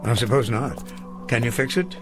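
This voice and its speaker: An actor playing a weary, self-doubting elderly schoolteacher, speaking formally and eloquently in a radio drama. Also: male voice, mid-range voice, moderate pace, over music, close to an everyday voice. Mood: courteous, wistful.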